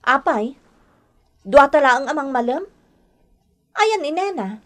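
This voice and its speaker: A woman's voice: sits high, big pitch swings, unhurried. A woman playing an aggrieved, defensive young wife and stepmother in a radio drama, with a plaintive, emotional delivery. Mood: indignant. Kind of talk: Ilocano radio drama acting.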